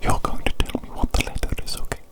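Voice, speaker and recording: A woman performing a whispered ASMR medical roleplay as an attentive, meticulous doctor, with a breathy, deliberate delivery that repeats words and phrases softly.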